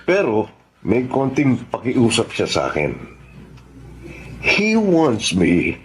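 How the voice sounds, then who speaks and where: A sly congressman in a Filipino radio drama. He speaks slowly in a mid-range, very expressive voice with a Filipino accent, plotting and self-assured. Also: mid-range voice, slight background noise. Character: scheming smooth congressman, loyal grandson of a plotter. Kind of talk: Tagalog radio drama acting.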